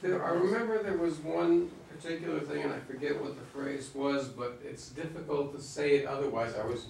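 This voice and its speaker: A man's voice: a little high, a little fast, vocal fry. A man giving casual feedback in an informal group discussion. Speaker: discussion participant. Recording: very echoey room, distant mic, faint noise.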